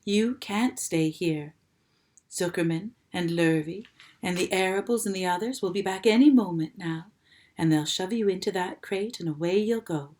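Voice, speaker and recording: A woman reading aloud and voicing a gentle, dying female spider who speaks softly, low and calm. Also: normal speed, close to an everyday voice. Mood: weary calm. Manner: soft, low, calm and tired.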